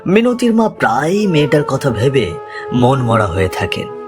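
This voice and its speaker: A man's voice: medium pitch, wildly swooping pitch, moderate pace. A man narrating a scripted ghost story in a dramatic storytelling style. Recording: music behind the voice. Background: music.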